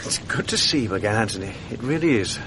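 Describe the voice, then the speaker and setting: A man's voice: fairly low pitch, lively intonation, fast, sharp tone, poor audio. An actor playing a polite, reserved young male freshman, in a radio play. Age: young adult. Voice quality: polite, well-spoken. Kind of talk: 1980s radio drama acting. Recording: archive radio play with background noise.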